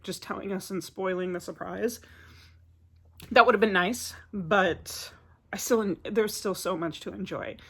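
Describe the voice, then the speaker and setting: A woman vlogger who is getting over a cold talks through her thoughts on books in a loose, rambling way, dropping filler words as she goes. Her voice sits at an ordinary middle pitch. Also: fairly bright tone. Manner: chatty, rambling, many filler "like"s. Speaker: book vlogger. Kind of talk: casual book review vlog.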